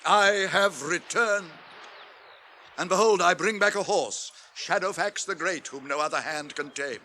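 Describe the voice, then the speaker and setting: A grand old British wizard, high and forceful in voice. He declaims with stately impatience in a radio fantasy, with faint noise behind him. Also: measured pace. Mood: commanding.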